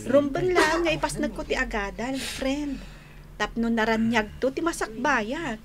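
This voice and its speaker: A woman's voice: sits high, lively intonation, measured pace. A woman playing a sweet, shy, lovestruck young student in a radio drama, with a Filipino accent. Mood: shy, surprised.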